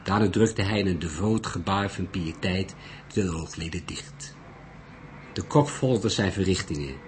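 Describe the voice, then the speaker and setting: A man narrating a literary detective story in a measured, deliberate way. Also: deep voice. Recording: noisy recording.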